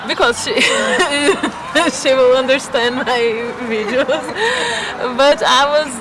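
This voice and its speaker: A woman's voice: sits high, lively intonation, slow, crowd noise. A middle-aged woman from southern Brazil chats casually in hesitant English with a Brazilian accent, a native Portuguese speaker. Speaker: video blogger interviewing a friend. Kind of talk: casual chat. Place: outdoors in a city park. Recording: outdoor recording with urban noise.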